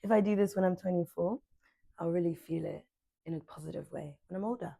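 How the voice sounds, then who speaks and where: Young woman yoga teacher, gravelly low voice, husky, dry living room sound. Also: no room sound. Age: 20s. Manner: soft, unhurried cueing while stretching. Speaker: yoga instructor. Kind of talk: guided stretching class. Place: sunlit living room with large windows.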